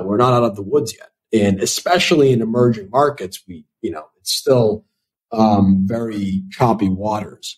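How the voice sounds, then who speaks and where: A man's voice: fairly low pitch, a little mellow, rough recording. A man in his thirties, an investor answering podcast interview questions, thoughtful and talking with many fillers and restarts. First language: Italian. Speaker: venture capital investor.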